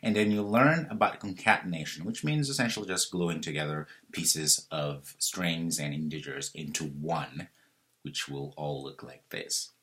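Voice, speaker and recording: Deep-voiced man teaching code. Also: measured pace. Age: 30s. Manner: relaxed explaining, thinking aloud with fillers and self-corrections. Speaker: programming instructor. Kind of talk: screen-recorded coding tutorial.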